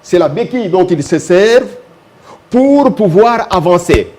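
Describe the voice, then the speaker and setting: Guy on a tv talk show, kinda high voice but soft and warm, keeps repeating himself to make the point. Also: lively intonation. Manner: measured, insistent, repeats key phrases for emphasis. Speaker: local notable, guest.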